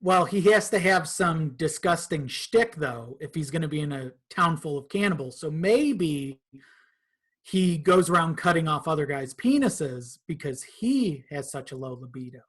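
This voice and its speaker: A man's voice: fairly high pitch, animated, normal speed, husky. A man chatting casually as a podcast guest, a little hesitant and repeating phrases as he finds his words. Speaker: podcast guest, audio drama creator. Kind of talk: casual chat.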